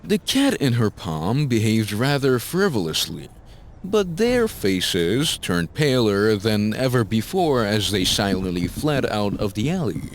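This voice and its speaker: Male narrator, scripted story reading, animated pitch, dramatic, faint noise behind. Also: unhurried.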